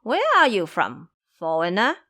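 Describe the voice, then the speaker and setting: A woman's voice: on the low side, wildly swooping pitch, measured pace, pushed and bright, exaggerated voice. A woman voicing a proud, mocking male monkey king in an audio drama, commanding and teasing.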